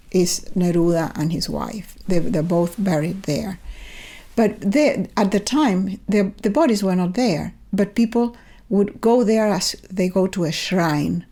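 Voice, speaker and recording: An older woman from Chile with a Chilean accent, a native Spanish speaker, talking in a measured, thoughtful way as an interview guest on a remote call. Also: mid-range voice, mellow. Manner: thoughtful, measured storytelling with repeated restarts. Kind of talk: reflective conversational interview. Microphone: remote video call.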